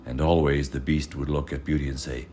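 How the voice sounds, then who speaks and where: A man reading a fairy tale aloud as storyteller, measured and expressive in his scripted narration. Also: a bass voice, slight background noise.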